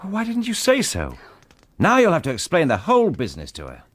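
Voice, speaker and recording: A man's voice: on the high side, wildly swooping pitch, fairly quick, fairly bright tone, no echo, full character voice. A man playing a brooding, irritable husband in a radio drama, curt and impatient, with an upper-class English accent. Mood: irritated.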